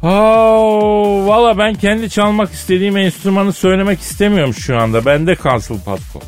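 A man's voice: high voice, normal speed. A man co-hosting a radio show in casual comic banter, colloquial and teasing, given to mock indignation. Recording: music bed behind the voice. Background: music.

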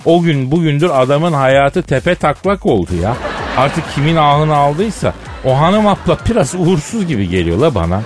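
Animated, high-voiced male radio co-host over music. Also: moderate pace. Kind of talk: casual comic banter. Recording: music bed behind the voice.

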